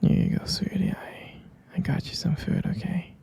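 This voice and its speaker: A man playing a doting, teasing vampire boyfriend in an ASMR roleplay, murmuring tender pet names in a breathy, husky, hesitant whisper. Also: moderate pace, mellow, natural voice. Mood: affectionate, adoring.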